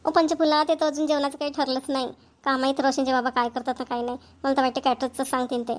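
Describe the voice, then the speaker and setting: A woman playing a busy middle-aged village mother in a cartoon, hurried and matter-of-fact, with a Vidarbha Marathi accent. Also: high-pitched, flat delivery, lightly acted. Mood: hurried, businesslike. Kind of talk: amateur cartoon voice acting.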